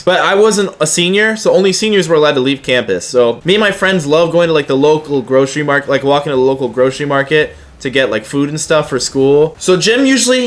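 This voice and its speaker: Higher-voiced young man telling casual stories. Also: fairly bright tone. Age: young adult. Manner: slangy, profane, acts out quoted dialogue. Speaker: YouTuber telling personal stories. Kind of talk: casual anecdotal storytelling.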